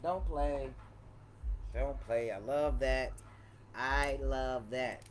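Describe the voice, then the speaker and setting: Woman vlogger, deep voice, sassy and emphatic, unhurried delivery. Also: slight background noise. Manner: sassy, playful, emphatic with repeated catchphrases. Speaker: vlogger reviewing wine. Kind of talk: casual wine tasting vlog.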